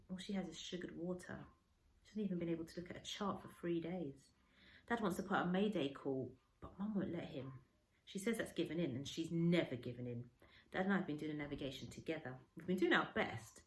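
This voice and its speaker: A woman reading a children's story aloud like a teacher, with expressive, varied emphasis. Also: low-pitched. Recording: roomy, echoey indoor sound.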